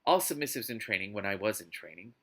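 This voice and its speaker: A man's voice: fairly low pitch, animated, fast talker, a bit bright, pitched below the performer's own voice. A man voicing a suave, teasing, commanding lover from a romance novel, smug and sardonic.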